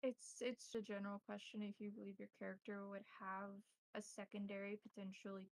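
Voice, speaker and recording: A woman running a tabletop horror game as game master, narrating in a deliberate, descriptive way. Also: medium pitch, level tone, no room sound.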